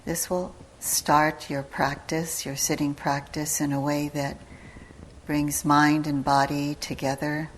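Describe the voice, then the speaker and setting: A low-pitched woman leads a guided meditation at a very slow pace. She speaks calmly in short, measured phrases with long pauses between them, and her intonation stays very flat. She is a teacher giving step-by-step instruction. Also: a little hiss or hum, rough recording. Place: meditation retreat hall.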